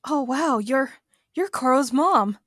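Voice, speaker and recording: A woman's voice: high voice, expressive, slow pace, fairly bright tone, dry recording, studio quality, heavily acted. A woman playing a confident, capable computer hacker in an audio drama, casual and eager.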